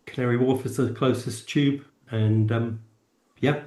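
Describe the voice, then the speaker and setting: A man's voice: medium pitch, steady tone, measured pace, a little mellow. A man co-hosting a podcast, discussing casually with tentative, circling phrasing. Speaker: podcast co-host. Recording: roomy, echoing sound.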